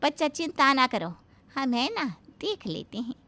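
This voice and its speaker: A woman voicing a rustic, doting mother who talks slowly in a homely, dialect-flavoured way. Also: high-pitched, very animated, bright, forceful tone, voice pushed up, full character voice. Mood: reassuring.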